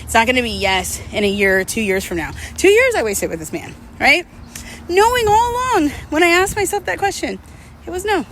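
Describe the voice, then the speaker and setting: A woman's voice: high voice, sing-song, brisk, a bit bright. A woman in her thirties talking casually and candidly, reflecting in a confessional way as she walks outdoors. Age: 30s. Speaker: vlogger. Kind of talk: casual confessional chat while walking. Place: outdoors, walking. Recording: clear outdoor urban traffic noise.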